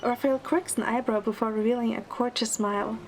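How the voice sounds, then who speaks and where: A woman playing a quick-witted young heroine, narrating an audio drama in the first person. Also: a little high, steady tone, music in the background, close to an everyday voice, rough recording.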